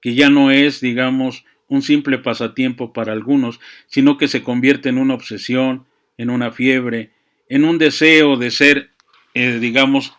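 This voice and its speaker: A man giving a reflective, unscripted monologue, often halting to search for words and adding verbal tags. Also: mid-range voice, steady tone, fairly bright tone. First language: Spanish. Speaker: speaker on chess and literature. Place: small room with books.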